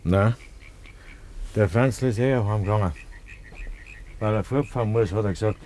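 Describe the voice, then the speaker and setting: A man's voice: fairly low pitch, normal speed, dark tone, lightly acted. An actor playing a terse, reluctant village man in a 1950s Bavarian dialect radio play, answering in a curt, guarded way. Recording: 1950s radio recording, faint noise.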